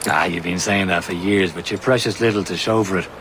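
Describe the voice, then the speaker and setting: Game role: male actor as steady assassin comrade, low voice, dry chiding delivery, background noise. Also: fairly quick, lightly acted. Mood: wry. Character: wry, steady assassin comrade teasing his friend.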